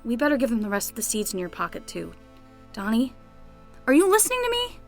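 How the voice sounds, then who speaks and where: Young female nanny played by a woman, earnest and exasperated, high voice with lively swings. Conversational audio-drama delivery. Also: fast talker, faint music behind. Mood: alarmed, urgent. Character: earnest, exasperated young summer nanny, reluctant detective's assistant. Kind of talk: scripted fiction podcast acting.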